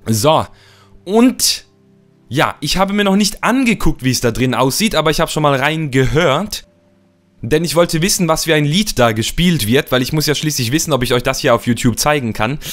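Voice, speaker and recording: A young man with a slightly rough, cold-worn voice, chatting playfully and rambling as he narrates his gameplay. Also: fairly high pitch, expressive, normal speed, a bit bright, faint music behind. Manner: playful, rambling, repeats words for fun. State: hoarse from a cold. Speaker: let's play host. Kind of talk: casual gameplay commentary.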